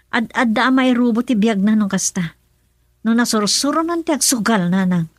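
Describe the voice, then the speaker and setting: A woman's voice: a little high, normal speed, dry recording, clean studio sound, natural voice. Give me a woman playing a tired, ailing young daughter. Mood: questioning. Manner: questioning, then faint and tired. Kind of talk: radio drama acting.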